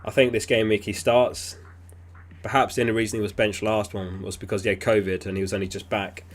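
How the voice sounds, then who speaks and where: A man hosting a casual livestream, reading viewer messages aloud in a relaxed, chatty way. He is sniffly and keeps clearing his throat. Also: fairly low pitch, fairly quick. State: sniffly, getting over an illness. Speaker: fantasy football livestream host.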